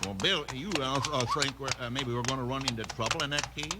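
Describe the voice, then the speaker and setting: An actor playing a hesitant, uneasy western sheriff who stammers in a folksy American accent, in a 1950s radio drama. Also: male voice, mid-range voice, natural voice. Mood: nervous. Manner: stammering, halting folksy delivery. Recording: very noisy, reverberant 1950s radio recording.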